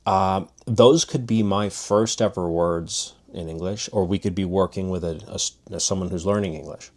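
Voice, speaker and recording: Low-voiced man casually teaching languages. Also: normal speed, a little mellow. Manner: loose, restarting, demonstrative. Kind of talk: casual spoken lesson.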